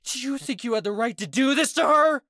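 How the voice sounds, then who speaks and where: A man voicing a grief-stricken young man who cries and shouts in a high, strained, breaking voice. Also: fairly quick, no room sound, clean studio sound. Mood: furious. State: crying.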